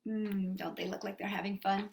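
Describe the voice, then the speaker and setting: A woman's voice: mid-range voice, little pitch movement, moderate pace, vocal fry, noisy background. A woman hosting story time for young children, reading and talking expressively and putting simple questions to her listeners. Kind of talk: story time for young children with read-aloud and sing-along songs.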